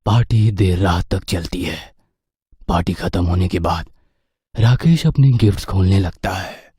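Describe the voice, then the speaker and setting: A man with a husky voice reading scripted horror story narration. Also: low voice, a little slow, dark tone, dry recording, studio quality.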